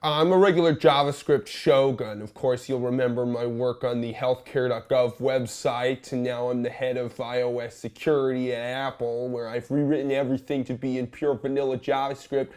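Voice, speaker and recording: Brief: male comic as an opinionated coder, cocky and dismissive, medium pitch, character comedy. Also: fairly even intonation, normal speed, hardly any pauses, darkish tone, close to an everyday voice. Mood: boastful, dismissive. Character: boastful, opinionated male programmer snob.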